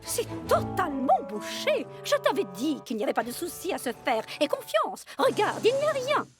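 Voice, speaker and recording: A boastful, excitable lady steam shovel from a children's cartoon. Her voice is very high and swoops about as she gushes like a born storyteller. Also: normal speed, music in the background, exaggerated voice. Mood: defensive. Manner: theatrical, gushing storyteller. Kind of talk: children's animated series dialogue.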